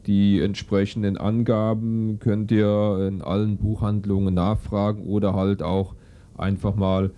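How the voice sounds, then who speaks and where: A man's voice: fairly low pitch, flat delivery, a little slow, dark tone. A man interviewing and presenting on the radio.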